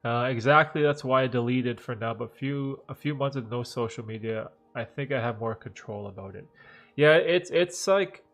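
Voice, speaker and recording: A man in his thirties, a bit congested and nasal, rambles easily through viewer questions on a live stream, tossing in "like" and "right?" all the time. Soft music plays faintly under his voice. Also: medium pitch. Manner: relaxed, rambling, heavy use of "like" and "right?". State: stuffed up, slightly nasal from dust allergies. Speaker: livestream host answering viewer questions. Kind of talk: casual live Q&A chat.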